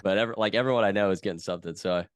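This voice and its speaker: A man in his thirties, a podcast guest from the US West Coast, talking in a relaxed, chatty way with many fillers. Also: on the low side, racing, clean tone, no echo. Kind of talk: casual conversation.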